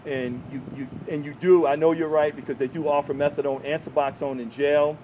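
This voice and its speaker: A man's voice: fairly high pitch, fairly even intonation, fairly quick, a little mellow, lo-fi sound, a little hiss or hum. A man hosting a street interview in a park, who repeats answers back and encourages his guests. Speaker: interviewer and channel host. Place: outdoors in a public park.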